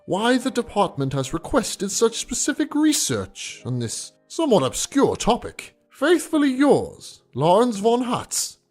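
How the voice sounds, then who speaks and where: A man's voice: very high voice, wildly swooping pitch, unhurried, hardly any pauses, soft background music, full character voice. A man playing a formal, deferential imperial archivist, reading out a scholarly research report in a scripted audio drama.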